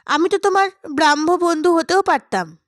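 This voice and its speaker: A woman voicing a reserved young man in an audiobook, quietly resistant, with brief questioning replies. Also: very high voice, normal speed, no room sound, clean studio sound, voice pushed up. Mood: restrained impatience.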